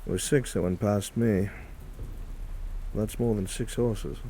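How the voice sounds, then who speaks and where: Guy playing a wary commanding fantasy officer, clipped and formal, dark mellow voice, bit of rain noise. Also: fairly low pitch, fairly even intonation, unhurried. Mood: wary, gruff. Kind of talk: live fantasy roleplay acting.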